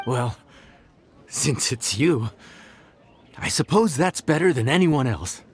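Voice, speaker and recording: A man's voice: medium pitch, lively intonation, takes it slowly. An actor voicing an earnest, proud and fussy male inn chef in a video game, with a hesitant manner. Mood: measured, reluctant. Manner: stammering, hesitant when flustered. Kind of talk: animated video game dialogue. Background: background music.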